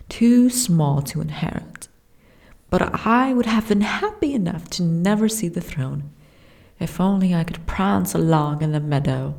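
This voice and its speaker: Woman playing a slow, mellow, wistful giantess princess. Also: sits low, creaky, exaggerated voice. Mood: nostalgic. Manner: formal, archaic, genteel phrasing. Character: gentle, wistful young giantess princess, courtly and frail. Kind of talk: ASMR fantasy roleplay.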